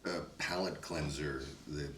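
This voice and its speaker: Low male guest, eager chimer, echoey and distant. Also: steady tone, a little slow, fairly bright tone. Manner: enthusiastic, supportive interjections. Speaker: cast member. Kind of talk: casual roundtable chat. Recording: roomy, off mic.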